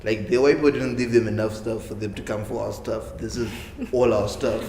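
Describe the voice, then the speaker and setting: A young man talking earnestly in a casual panel discussion, quickening as he tells his stories. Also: on the low side, fairly even intonation, gravelly, darkish tone, slight background noise. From Africa. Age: young adult. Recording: echoey room with long reverb.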